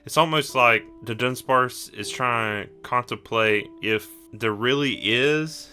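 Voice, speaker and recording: A man's voice: mid-range voice, slow pace, pushed and bright. A man working as game master, improvising narration for a tabletop roleplaying game.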